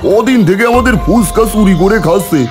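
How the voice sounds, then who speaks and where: A man's voice: sits high, a little fast, lightly acted. A man voicing a fretful, complaining village food vendor in a cartoon. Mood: indignant. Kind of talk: cartoon voice acting. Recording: music behind the voice.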